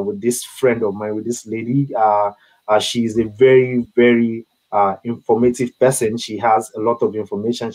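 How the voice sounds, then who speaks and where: A man hosting an online interview, speaking haltingly with frequent fillers and repeated words. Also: on the low side, darkish tone, rough recording. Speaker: host.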